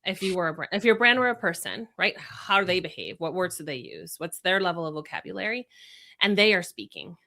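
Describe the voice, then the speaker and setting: A woman's voice: on the low side, big pitch swings, fairly quick, bright, forceful tone. A woman from Venezuela whose first language is Spanish, a brand strategist explaining her ideas in a podcast interview with examples and rhetorical questions.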